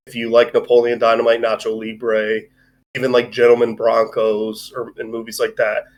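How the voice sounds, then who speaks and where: Male podcast host, mid-pitched everyday voice, opinionated and digressive, casual review conversation. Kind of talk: casual movie review chat.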